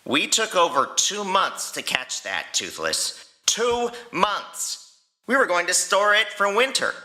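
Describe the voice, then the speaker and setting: A man's voice: sits high, sing-song, takes it slowly, sharp tone, voice pushed up, full character voice. A man voicing an angry young dragon rider who scolds his pet indignantly in an audio storytelling. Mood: exasperated, angry.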